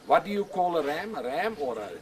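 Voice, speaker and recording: An older man with an Afrikaans accent, whose first language is Afrikaans, talking plainly and repetitively in halting English as a goat breeder outdoors on a farm. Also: fairly high pitch, big pitch swings, a crowd in the background. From South Africa. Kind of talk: casual explanation on a farm.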